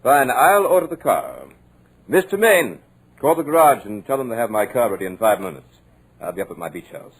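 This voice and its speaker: An actor playing a smooth, commanding radio producer with a sinister edge, in a 1940s radio drama. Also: male voice, mid-range voice, sing-song, a little fast. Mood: brisk. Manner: suave, commanding.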